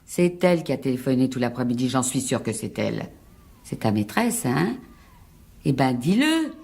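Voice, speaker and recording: Anxious, husky-edged insistent wife. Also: female voice, a bass voice, big pitch swings, darkish tone, exaggerated voice. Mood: jealous, accusing. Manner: halting, pleading, repeats words. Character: frightened, fragile wife, jealous and insistent, feeling persecuted. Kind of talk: French radio drama acting.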